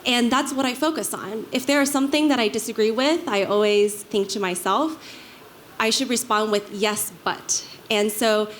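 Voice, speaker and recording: A woman speaking as a panelist, giving career advice in a measured, explanatory way. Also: mid-range voice. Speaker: panelist, legal counsel. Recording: clear background noise, live event ambience.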